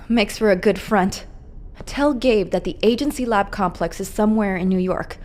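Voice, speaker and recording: A woman's voice: mid-range voice, normal speed, darkish tone, lightly acted. A woman voicing a weary, determined female agent. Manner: terse and determined. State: tired, winding down. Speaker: captured agent escaping.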